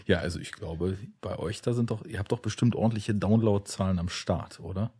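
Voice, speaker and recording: A man's voice: lowish voice, fairly quick, dark tone, husky. A man hosting a podcast, talking casually about films with his guests. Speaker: podcast host. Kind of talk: casual film podcast talk.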